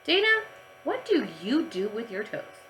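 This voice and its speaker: A woman's voice: sits high, big pitch swings, measured pace, fairly bright tone, a little hiss or hum, full character voice. A woman voicing an earnest, stubborn young schoolgirl in a children's storybook. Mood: puzzled. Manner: earnest, insistent.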